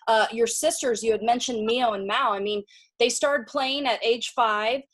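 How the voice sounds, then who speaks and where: A woman's voice: on the high side, moderate pace, a bit bright. A woman hosting a casual interview, asking long, enthusiastic questions with a few "uh" fillers. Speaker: interviewer, video director.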